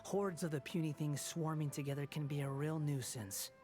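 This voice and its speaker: A man voicing a brooding young villain in a video game, with cold, deliberate, theatrical delivery. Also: a little high, fairly even intonation, darkish tone, close to an everyday voice. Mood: disdainful, brooding. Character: scornful, brooding young male villain turned penitent. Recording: game audio with music behind.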